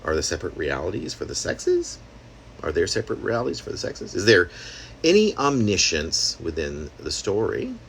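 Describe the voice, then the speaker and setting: A man's voice: low voice, wildly swooping pitch, normal speed, a bit bright, a little hiss or hum. A man reading his own experimental prose poem aloud in a conversational, wry manner, slipping in asides and rhetorical questions. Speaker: poet reading own work.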